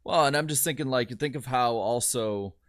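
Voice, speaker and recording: A man's voice: mid-range voice, fairly quick, no room sound, studio quality. A man co-hosting a racing podcast in casual chat, blunt and opinionated, with hesitant fillers. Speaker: podcast co-host.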